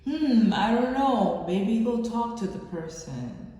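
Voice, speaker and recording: Woman doing a tarot love reading, kinda slow n mellow, super echoey room. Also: a little high, expressive. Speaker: tarot reader, channel host. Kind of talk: intuitive love reading, spoken off the cuff. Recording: very echoey room, faint background noise.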